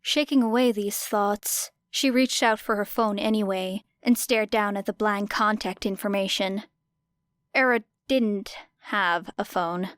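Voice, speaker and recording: A woman reads scripted fiction as an audiobook narrator. Her voice sits at a medium pitch, and the recording is dry with very little room sound. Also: a bit bright, no echo.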